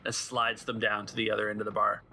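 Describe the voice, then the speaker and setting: A man's voice: on the low side, monotone, fast, pushed and bright. A man working as game master, casually narrating a tabletop role-playing session.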